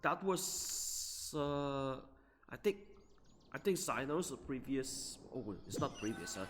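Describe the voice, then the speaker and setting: A man streaming a game, giving casual, quiet and halting off-the-cuff commentary. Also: mid-range voice, big pitch swings. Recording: echoey, distant, game music behind.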